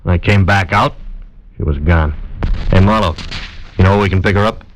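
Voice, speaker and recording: An actor playing a suspicious, dry American police detective lieutenant in 1940s radio crime drama, gruff and needling. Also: male voice, deep voice, a little fast, a little hiss or hum, natural voice, rough recording. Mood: businesslike, suspicious.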